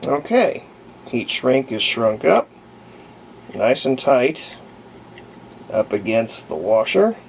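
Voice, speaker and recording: A man's voice: medium pitch, sing-song, takes it slowly, creaky, long pauses, slight background noise, rough recording. A man talking casually and unscripted in a folksy way as he demonstrates a hands-on repair at an indoor workbench. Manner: folksy, unscripted, narrating while working. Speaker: hobbyist radio restorer presenting a repair.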